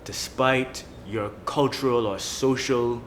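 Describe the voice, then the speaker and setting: A man, an attorney, introducing himself and speaking in a measured, deliberate way on a panel. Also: medium pitch, steady tone, a little hiss or hum. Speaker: attorney and entrepreneur. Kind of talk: discussion. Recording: music playing behind.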